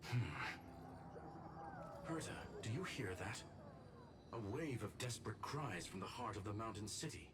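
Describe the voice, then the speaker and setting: Low-voiced, clinical male scholar acted by a man. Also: lively intonation, noisy background, close to an everyday voice. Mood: grave, analytical. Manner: measured, formal, clinical. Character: formal, logical, earnest male scholar. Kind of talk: video game voice acting. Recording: music behind, slightly distant.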